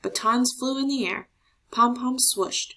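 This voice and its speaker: A woman narrating a children's picture book aloud in an expressive storytelling style. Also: on the high side, a little slow. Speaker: storybook reader.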